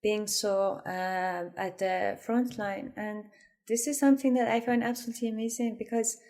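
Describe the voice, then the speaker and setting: A woman's voice: medium pitch, steady tone, moderate pace, a little mellow. Reflective young woman. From Iran. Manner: reflective, with frequent restarts. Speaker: interview guest. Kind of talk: conversational interview.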